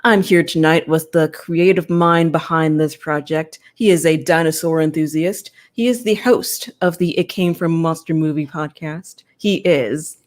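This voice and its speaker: A woman's voice: deep voice, steady tone, a little slow, few pauses. A woman hosting a podcast, chatting casually as she interviews a guest. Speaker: podcast host.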